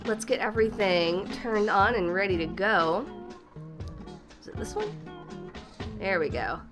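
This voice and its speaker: A woman's voice: medium pitch, animated, unhurried, music in the background. A woman hosting a casual livestream, slightly stuffy from a cold, chatty and upbeat, greeting viewers by name and laughing often. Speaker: livestream host.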